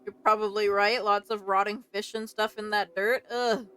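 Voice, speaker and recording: A woman's voice: mid-range voice, flat delivery, normal speed, sharp tone, clean tone, dry recording. A woman game streamer giving casual, chatty, exclamatory commentary as she plays. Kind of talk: casual reactive let's play commentary.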